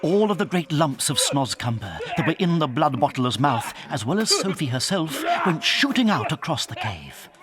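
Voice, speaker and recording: A man's voice: a little high, big pitch swings, normal speed, hardly any pauses. A man giving scripted storybook narration as the narrator of a children's audio drama.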